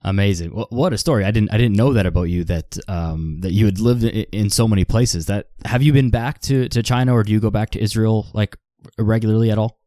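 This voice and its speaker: A man's voice: on the low side, fairly quick, dark tone, dry recording, studio quality. A man hosting a podcast, asking questions in easy conversation, often repeating words as he gathers his thoughts. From Canada. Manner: repeats words while thinking, laughs along. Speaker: podcast host. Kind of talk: casual interview hosting.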